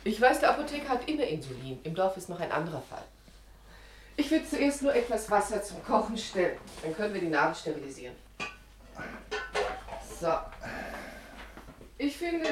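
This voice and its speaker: An actress playing a helpful, gently firm village woman in a 1950s radio play, composed and solicitous in her speech. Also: medium pitch, very animated, normal speed, pauses often, lightly acted. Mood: helpful, brisk. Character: helpful, brisk, caring village woman, trained in nursing. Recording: roomy 1950s radio recording, faint hiss.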